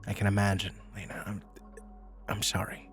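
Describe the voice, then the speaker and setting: An actor playing a kind, earnest social worker in an audio drama, speaking gently and hesitantly with reassurance. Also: male voice, on the low side, level tone, normal speed, faint music behind, natural voice. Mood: gently consoling. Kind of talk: naturalistic audio drama dialogue.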